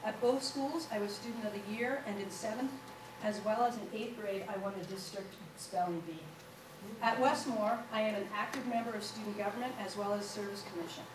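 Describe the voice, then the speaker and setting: A woman's voice: mid-range voice, a little fast. A woman presenting a student award at a board meeting, reading aloud with small slips and fillers. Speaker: school official presenting an award. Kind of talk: reading a formal introduction at a meeting. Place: large meeting room. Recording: echoey hall, picked up from far off, with noise.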